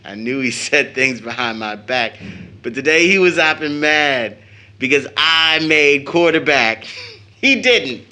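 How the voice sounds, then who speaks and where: An actor playing a proud, teasing teenage son in an audio drama of an early 1900s play, with an American accent and a theatrical, boyish manner. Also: male voice, mid-range voice, expressive, unhurried, bright, forceful tone. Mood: teasing, playful. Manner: theatrical, jokingly pompous with slangy boyish talk.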